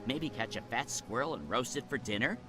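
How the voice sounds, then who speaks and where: A man's voice: medium pitch, animated, brisk, music in the background, lightly acted. A man voicing a small, wisecracking, cowardly talking bird in a video game, grumbling with melodramatic sarcasm.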